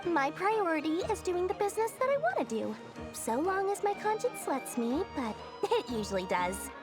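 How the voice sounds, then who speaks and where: A woman's voice: very high voice, sing-song, normal speed. A woman playing a small, shrewd, boastful merchant girl in a video game, with a theatrical, sly delivery. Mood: proud. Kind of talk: animated video game character acting. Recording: game music behind the voice.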